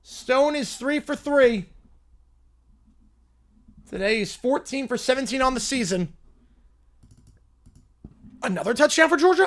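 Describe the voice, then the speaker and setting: A man's voice: very high-pitched, fairly bright tone. A man in his twenties from Long Island, casually calling a football game play by play on a livestream while chatting with viewers. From Long Island, New York. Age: 20s. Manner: chatty, answers viewer questions between plays. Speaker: livestream host and play-by-play commentator.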